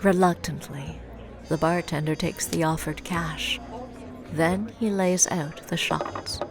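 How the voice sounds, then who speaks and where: A grave, brooding storyteller narrates an audio drama in a lowish female voice. The scripted lines are dramatic, with clear music underneath. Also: takes it slowly. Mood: somber, measured.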